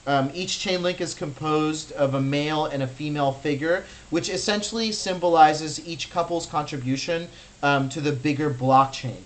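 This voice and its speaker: A man's voice: on the high side, moderate pace, fairly bright tone, rough recording. A young American man, a native Russian speaker, guiding a virtual gallery tour in a chatty, enthusiastic way with many fillers. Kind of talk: casual guided tour.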